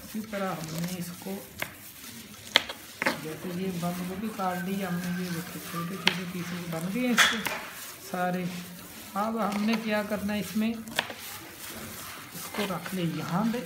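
A woman's voice: fairly low pitch, steady tone, slow pace, long pauses. A woman cooking at home and explaining a recipe step by step, chatty and repeating each step as she goes. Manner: chatty, repeats steps, admiring the food. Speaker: home cook. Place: home kitchen table, indoors. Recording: roomy with loud background noise.

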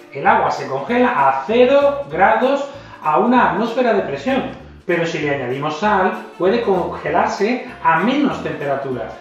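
A middle-aged man with a Spain Spanish accent teaches a science experiment, giving clear instructions to children. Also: sits high. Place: home kitchen, indoors. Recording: roomy, distant, dull kitchen audio. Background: background music.

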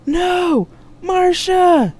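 Anguished male soldier-captain played by a female narrator, raised pitch, sci-fi audiobook drama. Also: measured pace, a little mellow, husky. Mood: desperate, yelling. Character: grief-stricken young male starship captain, anguished soldier.

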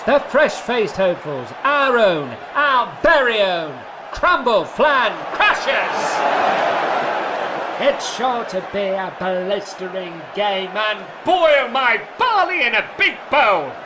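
Wildly excited high-pitched medieval match commentator. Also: male voice, heavily acted. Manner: shouting, bombastic. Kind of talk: commentary, comic audio drama. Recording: crowd noise behind the voice.

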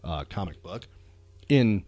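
A man's voice: low voice, animated, takes it slowly, mellow, no echo. A man hosting a chatty pop culture podcast, talking with enthusiasm and emphasis, often repeating phrases for effect. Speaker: podcast host.

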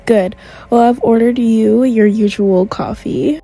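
A young woman voicing a calm, attentive teenage girl on a date. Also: a little high, slow pace.

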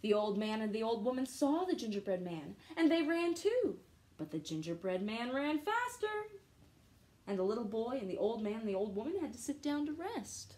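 A young woman reading a children's storybook aloud at home in an expressive, playful way. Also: fairly high pitch, normal speed. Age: young adult. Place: small living room at home.

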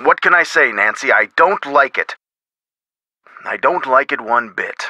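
Bright-voiced, chummy middle-aged lawyer. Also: male voice, medium pitch, expressive, measured pace, dry recording, exaggerated voice. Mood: displeased. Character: genial, avuncular middle-aged male lawyer and museum board member. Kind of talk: video game voice acting.

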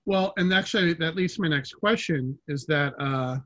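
Middle-aged male moderator, slightly high, muffled. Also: normal speed, a bit bright, no room sound. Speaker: panel moderator. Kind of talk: interview questioning. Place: room at home, indoors. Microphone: computer mic, video call. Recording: slightly dull video-call audio.

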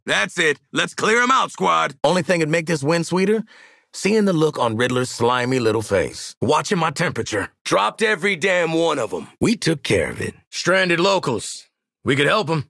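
An actor with an American accent playing a gruff, sardonic assassin in a video game, blunt and slangy, with a raspy voice. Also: male voice, on the high side, a bit bright, no echo, clean studio sound, exaggerated voice. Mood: urgent, shouting, then confident, dry. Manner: brash, blunt, street-smart slang, barking callouts. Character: gruff, no-nonsense male assassin, sardonic squad sharpshooter. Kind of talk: video game combat voice lines.

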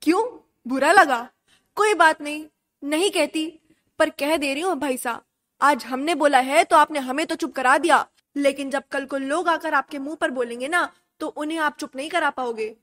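Woman doing snide scheming princess sister in a radio play, high voice, taunting. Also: moderate pace, fairly bright tone. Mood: scornful, warning. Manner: taunting, insinuating. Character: scheming, taunting royal sister, gossipy and scornful. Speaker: actor in a student radio drama. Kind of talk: radio drama acting.

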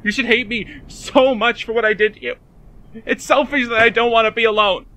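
A man playing a frightened, despairing young man in an emotional fan-dub drama, confiding haltingly and pleading. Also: high voice, gravelly, pushed and bright, full character voice. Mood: terrified, pleading.

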